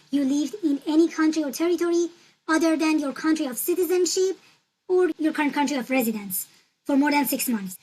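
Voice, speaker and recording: High-voiced woman walking through a form. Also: a little mellow, poor audio. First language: Turkish. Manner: patient, repetitive instructional talk with fillers. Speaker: tutorial presenter. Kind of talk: screen-recorded walkthrough.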